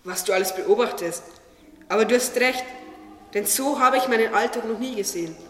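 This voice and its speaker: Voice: medium pitch, brisk, sharp tone, close to an everyday voice. Actor doing a curious innocent tree for a radio play, earnest, kinda distant and echoey. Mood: admiring. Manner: earnest, questioning. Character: naive, curious talking tree, humble and eager. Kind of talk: religious radio play acting. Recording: echoey, distant, long reverb, music behind.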